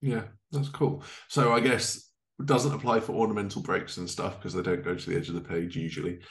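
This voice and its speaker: Low-voiced man hosting a casual interview. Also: fairly even intonation, brisk. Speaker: podcast host. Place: living room, indoors, on a video call.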